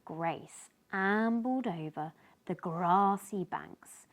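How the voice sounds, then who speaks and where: A woman reading a children's picture book aloud in an animated way, as if to young listeners. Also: fairly low pitch, extremely slow. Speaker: children's story reader, host.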